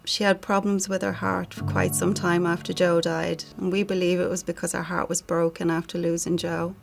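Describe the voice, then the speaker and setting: A middle-aged Irish woman reads a prepared tribute with little rise and fall. Her voice is lowish and creaky, with soft music behind it, and her manner is solemn. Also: level tone. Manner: solemn, measured. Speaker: bereaved sister giving a family statement.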